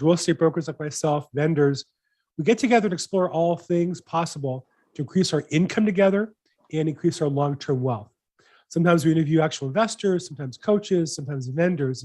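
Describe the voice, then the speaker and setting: Guy hosting a zoom show, kinda high voice, upbeat, rambles a bit. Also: a little mellow. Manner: upbeat, enthusiastic, rambling questions. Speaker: host, real estate broker. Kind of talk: hosting a weekly video call show. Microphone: video call mic.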